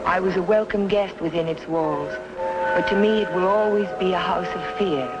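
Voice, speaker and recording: A woman's voice: fairly low pitch, moderate pace, lo-fi sound, natural voice. An actress playing a fearful, devoted woman narrating a noir radio mystery in a dramatic, brooding manner. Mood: haunted, brooding. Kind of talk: old-time radio drama acting, first-person narration. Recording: old radio recording with loud music.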